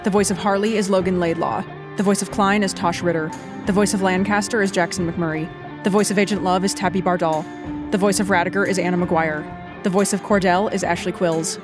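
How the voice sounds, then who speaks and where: A woman's voice: on the low side, steady tone, a little fast. A woman reading scripted closing credits as an announcer. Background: guitar music.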